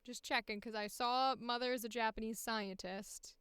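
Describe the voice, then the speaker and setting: A woman's voice: a little high, fairly even intonation, moderate pace, fairly bright tone, smooth, dry recording. A woman chatting casually to herself and her livestream as she works, in a playful, offhand manner. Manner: playful self-talk with sing-song filler noises. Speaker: voice actor and streamer recording auditions. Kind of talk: casual livestream chat while working.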